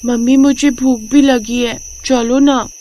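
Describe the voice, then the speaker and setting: An innocent little cartoon daughter acted by a woman chatters in a high, mellow voice. She sounds childlike and candid, with faint noise behind her. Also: fairly even intonation, slow pace. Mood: pleading. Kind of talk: cartoon moral story acting.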